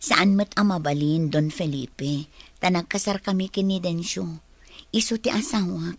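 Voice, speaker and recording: A woman's voice: fairly low pitch, unhurried, dry recording. A woman playing a feisty, scolding but loyal village wife in an Ilocano radio comedy drama. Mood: firm, defensive.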